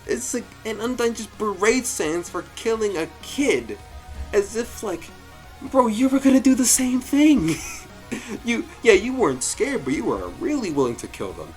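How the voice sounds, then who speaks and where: Animated man reviewing over music. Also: high voice, normal speed. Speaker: host of a fan story review show. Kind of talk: casual commentary, loosely scripted review.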